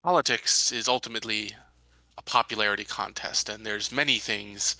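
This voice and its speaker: A man playing a tabletop roleplaying game, explaining his character's actions in deliberate, rather formal wording. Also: on the low side, pushed and bright, no echo, rough recording.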